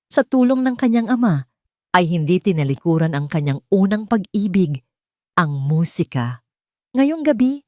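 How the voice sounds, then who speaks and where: A woman with a Filipino accent narrating a scripted love story as a storyteller, with an expressive, dramatic delivery. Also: fairly low pitch, no echo, studio quality.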